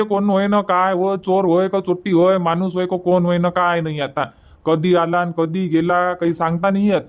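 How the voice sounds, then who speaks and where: Cartoon village husband, male performer, exasperated and insistent, pitched well up, Varhadi Marathi accent. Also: close to an everyday voice. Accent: Varhadi Marathi from Vidarbha. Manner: insistent, repetitive, reproachful. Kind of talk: cartoon comedy dubbing.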